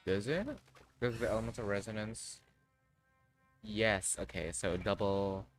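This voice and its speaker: Man, low voice, casual game commentary, slangy, offhand, faint music behind. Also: steady tone, unhurried. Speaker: livestreamer playing a game.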